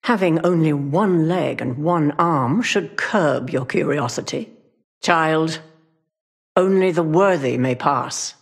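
An actress playing a sorrowful, guilt-ridden older woman guarding the way in a video game, speaking gravely and solemnly in a husky, gravelly voice. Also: low-pitched, slow pace, exaggerated voice. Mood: solemn, stern. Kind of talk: video game character acting.

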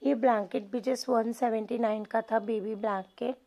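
High-pitched chatty woman. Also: steady tone, moderate pace, warm tone, lo-fi sound. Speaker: shopping vlogger. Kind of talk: casual vlog voice-over with English words mixed in.

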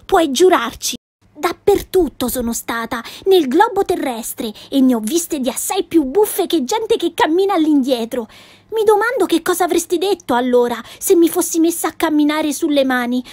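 A woman voicing a cheerful, boastful little girl who tells wild tales, in a lively, exclaiming way. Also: high-pitched, moderate pace, no echo, voice pushed up.

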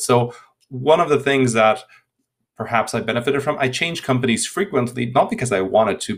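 A man in his thirties from Hungary with a Hungarian accent, answering interview questions over a video call, often repeating words as he restarts sentences. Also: lowish voice. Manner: frequent word repetitions and restarts. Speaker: guest speaker. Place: home room. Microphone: laptop webcam video call.

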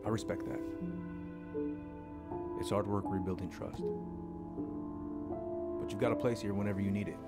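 An actor playing an earnest, remorseful small-town police chief and father figure in a video game, speaking haltingly and sincerely. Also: lowish voice, fairly even intonation, loud music behind the voice, natural voice.

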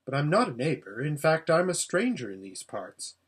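A man's voice: fairly high pitch, sing-song, moderate pace, slightly rough. A man voicing an earnest, polite, somewhat tentative young boy in a storybook reading.

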